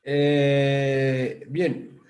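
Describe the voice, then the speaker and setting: A man's voice: medium pitch, completely flat delivery, drawn-out words, darkish tone. A man from Italy with an Italian accent, a rabbi giving a thoughtful explanatory talk with many restarts over a video call. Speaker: rabbi, guest speaker.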